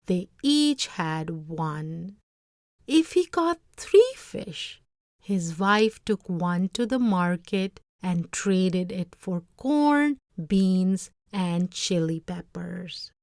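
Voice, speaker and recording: A woman reading a story aloud as narrator, with expressive, dramatic phrasing. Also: mid-range voice, no echo, clean studio sound.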